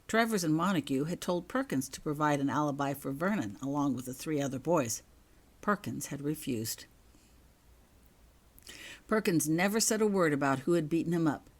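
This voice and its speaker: A woman with a low voice reads a work of fiction aloud. She narrates from a prepared text in the style of an audiobook, as the author of the story. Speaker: author reading own fan fiction aloud.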